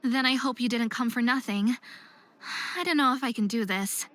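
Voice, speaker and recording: A woman's voice: on the high side, moderate pace, fairly bright tone, close to an everyday voice. A woman voicing an anxious but determined young female figure skater in a visual novel game, given to self-doubt and pep talks.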